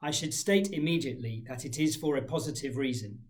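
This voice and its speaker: A man in his forties, a head teacher giving a formal school assembly address, measured and reading from a folder in a small room. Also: mid-range voice, a little mellow. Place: small indoor room with framed pictures.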